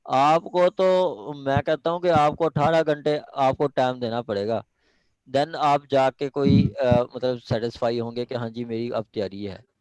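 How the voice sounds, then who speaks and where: A man's voice: medium pitch, fairly even intonation, darkish tone, smooth, dry recording, low-quality recording. A man with a Pakistani accent lecturing law students, speaking emphatically and repeating himself to drive points home. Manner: emphatic, repetitive, often checking understanding. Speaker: law lecturer preparing students for judicial exams. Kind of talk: online class discussion and exhortation.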